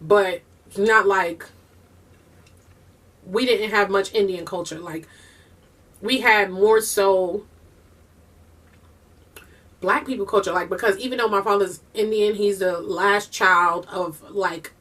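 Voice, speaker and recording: A woman chatting casually while eating, talking fast with a Southern US and Puerto Rican-tinged accent and slipping into bits of song. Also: medium pitch, steady tone, a bit bright, far from the mic. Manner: breaks into bursts of singing. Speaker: vlogger and mother.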